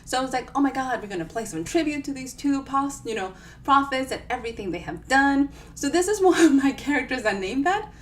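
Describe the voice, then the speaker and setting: A woman's voice: high-pitched, expressive, fairly quick. A woman author hosting a casual live book reading, chatting to viewers in a playful, self-deprecating way. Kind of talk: casual livestream chat to viewers. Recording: distant mic with clear background noise.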